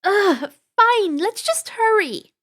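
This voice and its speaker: Woman acting high, bossy, excitable adventurer girl. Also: slow, exaggerated voice. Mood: flustered, indignant. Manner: exclamatory, exasperated.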